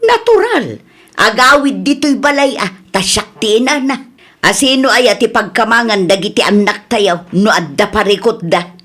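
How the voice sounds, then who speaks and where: A woman's voice: fairly high pitch, animated, normal speed, a bit bright. A woman playing a nosy, chatty villager in a comedy radio drama, with an Ilocano accent. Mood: prying, opinionated.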